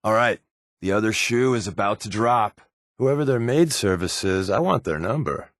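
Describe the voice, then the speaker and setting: An American actor playing a sardonic, wisecracking secret agent companion in a video game, with a wry, offhand delivery. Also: male voice, on the low side, lively intonation, moderate pace, a bit bright, dry recording, clean studio sound. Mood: dry, joking.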